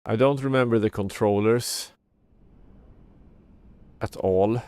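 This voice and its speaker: A man's voice: on the low side, takes it slowly, a little mellow, clean tone, no echo, studio quality. A man giving casual live game commentary as a streamer, muttering in a mildly exasperated way. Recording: game music behind the voice. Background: video game music.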